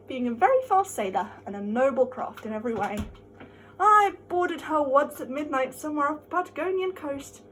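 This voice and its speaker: A woman giving an amateur dramatic reading of a classic novel, expressive and emphatic, drawing out some of her words. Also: high voice, a little hiss or hum, rough recording. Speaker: amateur reader.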